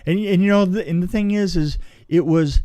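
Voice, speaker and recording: A man's voice: sits high, a little fast, warm tone, no room sound. A man casually telling anecdotes from film and TV work as a guest, chatty and given to acting out remarks.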